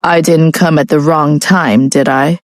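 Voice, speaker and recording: A woman's voice: low-pitched, steady tone, measured pace, dry recording. A woman voicing a cold, menacing young husband who speaks in clipped, icy lines, close to her own voice.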